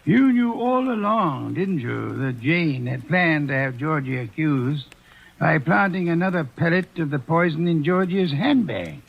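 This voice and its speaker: An actor in a 1940s radio drama playing a calm, superior male detective who lectures in measured, dryly amused tones. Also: on the high side, vocal fry, exaggerated voice. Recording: dull old radio transcription.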